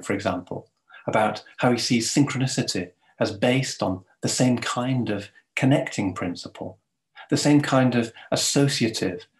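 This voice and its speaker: A man giving a formal, measured scripted introduction in the manner of an academic presenting a speaker. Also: on the low side, low-quality recording.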